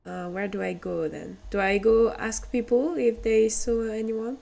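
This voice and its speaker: A woman's voice: fairly high pitch, fairly even intonation, a little slow, vocal fry, mellow. A woman with a French accent, a streamer hesitantly thinking aloud while playing a game. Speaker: VTuber streamer. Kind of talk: casual game commentary.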